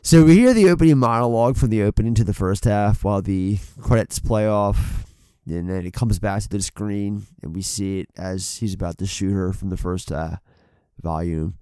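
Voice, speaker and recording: Podcast co-host, man, low voice, stuffy nose, loose and rambly, dry close sound. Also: moderate pace, mellow, clear, resonant tone, no echo. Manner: rambling, swears casually, many fillers and restarts. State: congested from a head cold. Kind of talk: casual film podcast chat. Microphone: desk mic on an arm.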